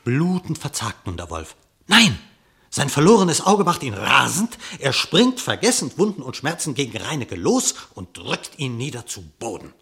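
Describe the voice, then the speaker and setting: An actor playing a storyteller, narrating a radio-play animal fable in verse with theatrical, vivid declamation and a husky voice. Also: male voice, mid-range voice, huge pitch swings, moderate pace, fairly bright tone, full character voice. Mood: dramatic, vivid.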